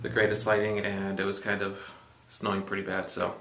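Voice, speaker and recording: A man in his twenties from Mexico, co-hosting a casual vlog chat, relaxed and full of fillers. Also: low-pitched, a little fast, echoey room, distant mic, a little hiss or hum. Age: 20s. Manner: relaxed, filler-heavy, joking. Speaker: vlogger co-host.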